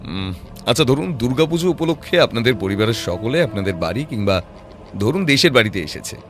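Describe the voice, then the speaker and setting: A man playing a composed, sharp-witted private detective in an audio drama, reasoning in a measured voice with rhetorical questions. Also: mid-range voice, very animated. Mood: calm, explaining. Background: background music.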